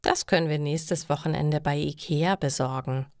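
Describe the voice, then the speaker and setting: A woman voicing a kindly, supportive middle-aged father in a storybook, gently reassuring and slow. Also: low voice, steady tone, no echo, studio quality.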